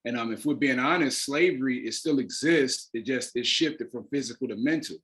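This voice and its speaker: Male interview guest, mid-pitched, earnest and deliberate, stresses key words. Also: fairly bright tone. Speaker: interview guest, playwright and actor. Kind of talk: earnest explanatory interview talk.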